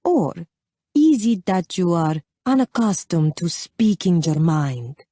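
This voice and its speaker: A woman acts out a dashing foreign baron from an audiobook romance. He speaks with a foreign accent in a low, mellow voice, choosing each word with care. His manner is amused, teasing and sure of himself. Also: sing-song, dry recording, clean studio sound, full character voice. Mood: teasing, probing. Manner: slow, careful, deliberate delivery.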